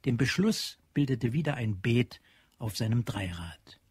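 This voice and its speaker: Husky, close-miked male narrator. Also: mid-range voice, lively intonation, measured pace, a little mellow, no room sound. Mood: measured. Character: sober male narrator of a science fiction tale. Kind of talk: scripted radio drama narration.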